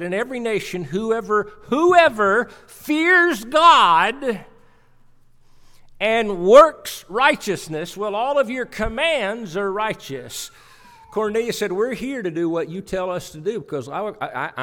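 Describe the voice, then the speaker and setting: A man's voice: sits high, a bit bright. A middle-aged man preaching a sermon from scripture at a church pulpit, emphatic, repeating phrases for weight. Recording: reverberant church hall sound.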